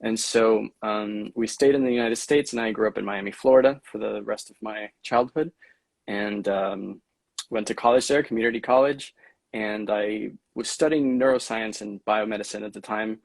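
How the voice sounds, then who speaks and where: Low gravelly-voiced young man. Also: dry recording. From Venezuela. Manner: relaxed, measured storytelling with many fillers. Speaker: guest artist. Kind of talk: casual livestream chat.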